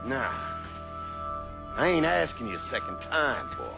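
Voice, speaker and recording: An actor playing a menacing, domineering middle-aged crime boss in a video game, blunt and profane. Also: male voice, medium pitch, sing-song, a little slow, gravelly, loud music behind the voice. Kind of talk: video game cutscene acting.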